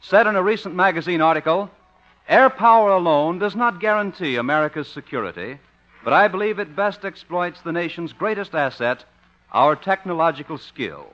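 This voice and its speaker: Male vintage radio announcer, high and forceful, formal declamatory sponsor read, muffled period recording. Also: lively intonation, moderate pace.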